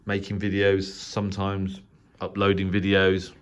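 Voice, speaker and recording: A man's voice: low-pitched, monotone, measured pace. A middle-aged English man giving a casual, unscripted narrated tour as a hobby host, speaking in a hesitant, rambling way. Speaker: shortwave radio hobbyist and channel host. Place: small spare bedroom radio shack, indoors. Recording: clearly noisy home room recording.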